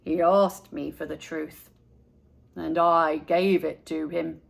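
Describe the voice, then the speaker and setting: A woman voicing a calm, kindly, wise old grandfather in a storybook, with a measured, gently advising manner. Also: deep voice, creaky, voice pushed down, full character voice.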